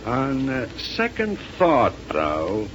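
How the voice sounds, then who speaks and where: An actor playing a mocking, threatening space villain in a 1930s radio serial, condescending and menacing. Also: male voice, medium pitch, huge pitch swings, drawn-out words, a bit bright, dull audio, heavily acted. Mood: smug scheming. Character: sneering, threatening space villain with a rocket pistol. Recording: noisy 1930s radio recording.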